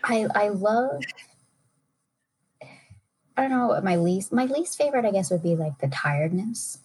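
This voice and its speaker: A woman's voice: mid-range voice, animated, normal speed, a little mellow, slightly rough, low-quality recording. A woman chatting casually as a guest, a little hesitant as she thinks aloud. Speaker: podcast guest, a parent. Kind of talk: casual chat.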